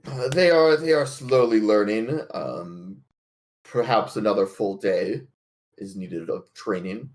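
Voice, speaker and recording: A man improvising in-character tabletop roleplay, speaking in a halting, stammering and rather formal way. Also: lowish voice, darkish tone.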